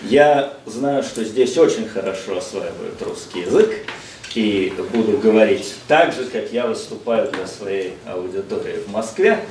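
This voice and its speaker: A middle-aged man speaks from a table in a seminar room, his lowish voice steady and unhurried. He pauses often on drawn-out hesitations and drops in English phrases. He is picked up from across an echoey room, with a faint hiss underneath. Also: far from the mic. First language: Russian. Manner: measured, frequent "э" hesitations, mixes in English phrases. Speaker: visiting lecturer. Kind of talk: seminar lecture. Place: seminar room, seated at table before a projected slide. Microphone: distant room mic, speaker seated across the room.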